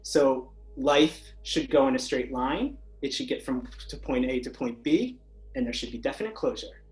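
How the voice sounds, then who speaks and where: A man with a mid-range voice explains his ideas about language as an interview guest. He speaks in a measured, explanatory way, and faint music runs beneath him. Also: mid-range voice. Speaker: podcast guest, language researcher. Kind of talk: conversational interview.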